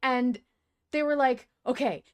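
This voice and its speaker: A woman hosting a fan podcast in casual chat, effusive and excitable, quick to play down her own part. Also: high-pitched, clean tone. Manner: excitable, effusive, self-deprecating. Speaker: podcast host.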